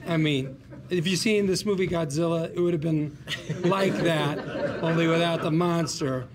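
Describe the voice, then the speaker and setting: A man with a gravelly voice making casual, joking remarks to a group. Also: fairly high pitch, steady tone, normal speed, crowd noise. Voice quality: gravelly, creaky. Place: room with a group at a table read. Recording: clear room noise.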